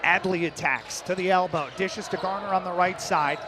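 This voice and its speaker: High-pitched male basketball play-by-play announcer. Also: noisy background. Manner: clipped, rapid-fire descriptive phrases. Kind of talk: live radio basketball commentary.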